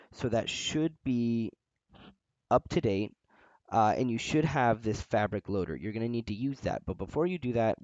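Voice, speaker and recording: A low-pitched man walks through a game tutorial in a loose, rambling style, with plenty of "uh" fillers and a loud voice. The sound is dry and close, with a slightly dull, lo-fi tone from a home desk microphone. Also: no room sound. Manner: relaxed, rambling, frequent "uh" fillers. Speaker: tutorial host. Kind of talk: casual screen-recorded game tutorial. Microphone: desk microphone near a loud keyboard.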